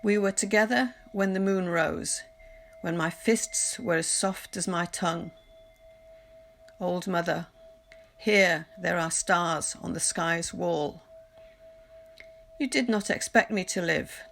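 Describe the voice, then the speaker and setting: Slow, creaky-voiced woman reciting poetry. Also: on the low side, fairly bright tone. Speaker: poetry reader. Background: music.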